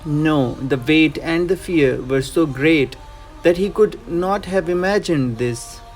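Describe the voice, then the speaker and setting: Higher-pitched man narrating a dramatic story. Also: a little mellow, poor audio.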